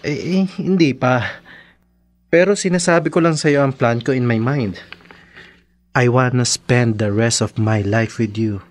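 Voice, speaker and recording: An actor playing a devoted, slightly shy young boyfriend in a radio drama, with a Filipino accent. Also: male voice, mid-range voice, measured pace, lightly acted. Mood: earnest, nervous. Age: young adult.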